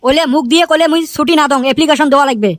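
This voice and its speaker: A woman's voice: high voice, dry recording, close to an everyday voice. A woman voicing an exasperated village schoolteacher in a comedy cartoon, grumbling and scolding scornfully in a Rajbongshi accent. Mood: impatient. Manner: grumbling, scornful, quick to insult pupils. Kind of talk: Bengali comedy cartoon dubbing.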